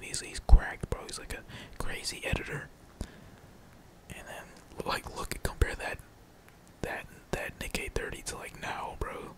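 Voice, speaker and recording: A young man keeps up a casual, whispered commentary as he plays a game. His voice is all breath with hardly any tone behind it. He rambles in heavy slang and swears freely. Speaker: gaming streamer.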